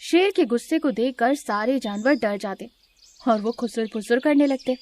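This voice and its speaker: High-voiced woman narrating a children's story. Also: normal speed, darkish tone.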